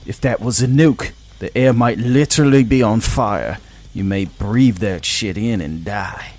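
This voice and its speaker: A man's voice: fairly low pitch, unhurried, a little mellow, soft background music. Man playing a cocky, blunt male mech pilot. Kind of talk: audio story character dialogue.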